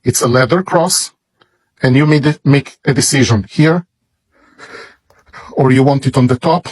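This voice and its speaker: A man with a Hebrew accent, a native Hebrew speaker, slowly and reverently showing off a bible as a product. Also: mid-range voice, breathy edge. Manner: slow, deliberate, reverent. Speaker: seller presenting a bible. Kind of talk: product demonstration.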